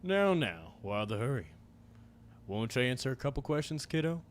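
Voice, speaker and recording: A man's voice: mid-range voice, very animated, slight background noise, lightly acted. A man doing a drawling cowboy villain. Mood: taunting. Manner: slow taunting drawl.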